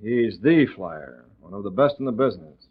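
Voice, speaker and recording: An actor in an old radio drama playing a calm, authoritative newspaper publisher who speaks in a measured, businesslike way. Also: male voice, lowish voice, breathy edge. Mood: firm. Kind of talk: 1940s radio acting. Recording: tinny old radio recording.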